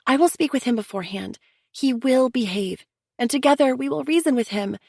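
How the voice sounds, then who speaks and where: Woman doing a chirpy pushy sister-in-law, high and eager, dry close mic. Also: a little fast, gravelly, darkish tone, dry recording. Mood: insistent. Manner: insistent, upbeat. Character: cheerful, insistent young woman peacemaker. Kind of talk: audiobook character voice.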